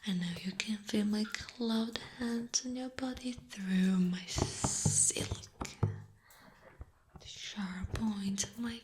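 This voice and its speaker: Woman as a slow, whispering spider seductress. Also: mid-range voice, steady tone, a little mellow, slight background noise, lightly acted. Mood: proud, predatory. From Italy. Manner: breathy whispering, slow and deliberate. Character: giant possessive seductive female spider monster. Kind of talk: whispered ASMR roleplay.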